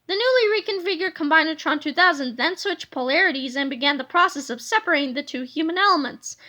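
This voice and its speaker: A woman narrating a children's storybook aloud in an expressive, scripted reading style. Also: high-pitched.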